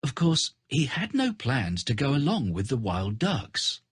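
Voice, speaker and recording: A male storybook narrator for children with a very husky voice. His pitch moves in big animated swings, and the recording is dry and close. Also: measured pace, no echo.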